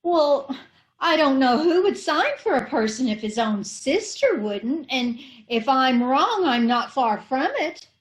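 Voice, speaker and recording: A woman playing a scatterbrained middle-aged housewife in a radio comedy, naive and earnest, mixing up her words. She speaks slowly with a bright, high singsong lilt. The audio comes over a video call. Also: expressive. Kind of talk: old-time radio comedy acting.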